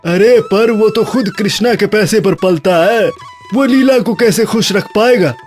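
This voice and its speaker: A man's voice: sits high, a little slow, faint music behind. A man voicing a judgmental, gossiping villager, scornful and exclamatory, in a cartoon moral story. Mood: disapproving.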